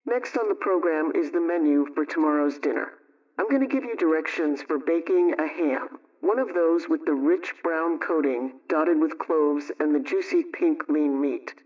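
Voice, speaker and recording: A man reading a scripted vintage radio homemaking show as host, giving measured recipe instructions. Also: fairly high pitch, a little mellow. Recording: dull, distant old-radio sound.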